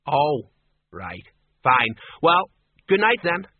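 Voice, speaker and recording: A man's voice: fairly high pitch, very animated, slow pace, breathy edge, no echo, heavily acted. A man voicing a cheerful, chatty, plodding watch sergeant in an audiobook, in a plain good-natured manner. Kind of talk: audiobook character voice. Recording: narrow phone-like radio sound.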